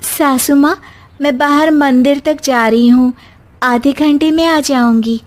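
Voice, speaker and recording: Outspoken, sensible young bride from a storybook played by a woman, high and earnest, articulate. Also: fairly even intonation, slow. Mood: polite. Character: educated young city-bred daughter-in-law, outspoken and sensible.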